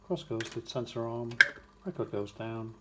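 A man's voice: mid-range voice, moderate pace. A man giving a hands-on, step-by-step demonstration in short, matter-of-fact phrases. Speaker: demonstrator of a vintage record player. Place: indoors, beside a wooden radiogram. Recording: clear background noise with mechanical clicks.

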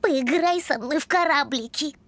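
A woman voicing a small, demanding little brother in an animated cartoon, with a childish, whiny, insistent delivery. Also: sits high, normal speed, heavy background noise, lightly acted.

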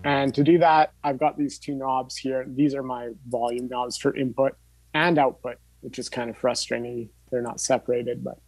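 A man's voice: medium pitch, steady tone, normal speed, darkish tone, low-quality recording. A man in his thirties giving an informal hands-on tutorial, talking casually and thinking aloud as he demonstrates. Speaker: workshop instructor. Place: indoor home studio room with tape gear.

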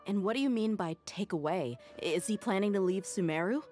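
A woman's voice: mid-range voice, expressive, a little fast, lightly acted. An actress voicing a frank desert mercenary woman. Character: confident, direct adult female desert mercenary. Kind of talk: video game voice acting. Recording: game audio with soft music behind.

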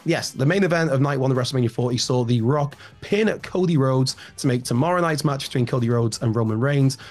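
Man, thirties, slightly raspy, hoarse, chatty news host, rambling asides, home studio mic. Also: medium pitch, brisk, a little mellow, soft background music. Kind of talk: solo news commentary. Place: home room with shelves, indoors. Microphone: large studio mic in front, headphones.

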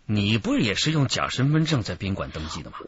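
An actor playing a stern, pressing police detective chief in a radio drama. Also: male voice, on the low side, sing-song, fast, breathy edge, muffled recording, full character voice. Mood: stern, probing. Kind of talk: Chinese radio drama acting.

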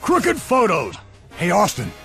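An actor playing a scheming, egomaniacal middle-aged camp boss in an animated comedy, pompous and theatrical. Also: male voice, sits high, unhurried, gravelly, soft background music, heavily acted. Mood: gloating.

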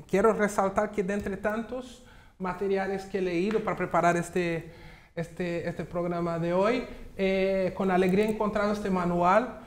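Slow, halting man in a TV studio. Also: high voice. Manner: slow, thoughtful, halting with repeats. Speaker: studio guest. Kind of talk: studio interview talk. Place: TV studio set.

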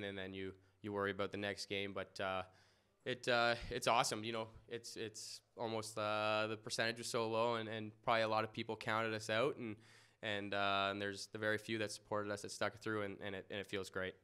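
A young man answering reporters after a game in an indoor press room, humble and halting, with many fillers and restarts. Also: on the low side, completely flat delivery, pushed and bright, clean tone. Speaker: lacrosse goalie. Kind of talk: post-game press interview. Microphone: podium mic in front.

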